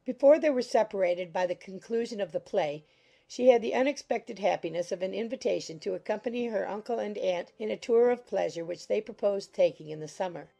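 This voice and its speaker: A woman reading a classic novel as an audiobook narrator, in a formal, expressive storytelling manner. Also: mid-range voice, fairly quick, vocal fry. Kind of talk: scripted literary narration.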